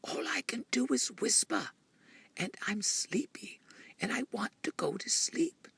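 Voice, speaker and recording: A man voicing a sleepy, plaintive little storybook rabbit in a breathy whisper. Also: sits high, sing-song, a little slow, bright, forceful tone, pitched above the performer's own voice, exaggerated voice.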